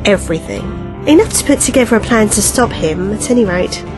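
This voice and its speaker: A woman playing a calm, knowing ally in a science-fiction audio drama, composed and matter-of-fact. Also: mid-range voice, brisk, over music, lightly acted. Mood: conspiratorial, assured. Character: calm, knowing female ally with a secret plan.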